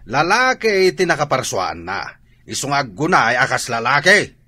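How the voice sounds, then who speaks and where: A hot-tempered farmer dad in a radio drama, scolding, sharp voice that swings up and down. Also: male voice, mid-range voice, big pitch swings, moderate pace. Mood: angry, scolding. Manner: gruff scolding. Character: stern, hot-tempered farming father.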